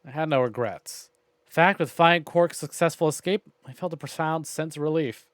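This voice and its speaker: A man's voice: a little high, fairly quick, no room sound, studio quality. A man streaming a visual novel game, reading aloud and commenting in a wry, conversational way. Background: faint game music.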